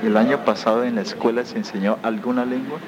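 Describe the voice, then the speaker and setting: A man's voice: medium pitch, steady tone, fast, dull audio, a loud crowd. A man working as a field interviewer, putting short informal survey questions to people. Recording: noisy outdoor field recording.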